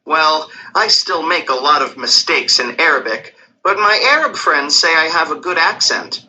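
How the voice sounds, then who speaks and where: A man's voice: fairly high pitch, animated, moderate pace, bright, forceful tone, a little hiss or hum, poor audio. A man voicing a polite, eager young exchange student in a scripted textbook dialogue.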